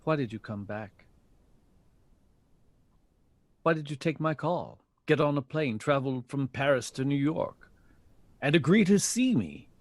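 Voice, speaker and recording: A man acts out a proud 1960s bandleader in an audio drama, earnest and pleading. His delivery is impassioned and widely varied in pitch, recorded dry with little room around it. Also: animated, normal speed, no echo, close to an everyday voice. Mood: insistent. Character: proud, pleading male big band leader in the 1960s.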